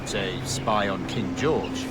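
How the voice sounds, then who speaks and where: An actor playing a calm, calculating villainous officer in a video game, formal and measured in his explanations. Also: fairly low pitch, over loud music. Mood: coolly explaining. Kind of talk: scripted video game dialogue.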